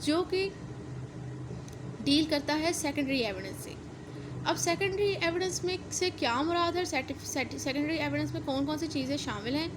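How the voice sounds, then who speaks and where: High-pitched Pakistani woman lecturing over noise. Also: moderate pace. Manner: didactic, repeats and rephrases points step by step. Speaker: law lecturer. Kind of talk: explanatory lecture mixing in English legal terms.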